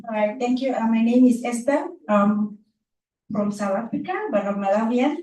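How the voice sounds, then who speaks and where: A woman's voice: on the high side, unhurried, pauses often, warm tone. A woman from Zambia asking questions from the audience at a public talk. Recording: echoey room, distant mic.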